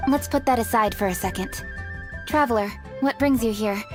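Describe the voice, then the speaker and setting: A woman voicing an earnest, scholarly young official in a video game, speaking briskly and clearly. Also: on the high side, over music, natural voice.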